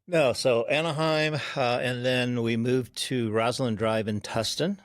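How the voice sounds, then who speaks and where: A man in his sixties, a podcast guest, recalling his childhood in an unhurried way with frequent pauses. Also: mid-range voice, steady tone, no room sound, clean studio sound. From Korea. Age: 60s. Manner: unhurried, pausing with ums while recalling. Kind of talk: storytelling interview.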